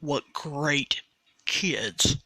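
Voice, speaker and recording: A woman from talks through a sports rule on her podcast, earnest and patient, and she often stops to restart a sentence. Her voice is bright and forceful, and she speaks at a slow, deliberate pace. The sound is close and dry. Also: deep voice, husky, dry recording, rough recording. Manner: earnest and explanatory, with frequent restarts. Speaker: podcast host. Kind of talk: solo sports podcast commentary.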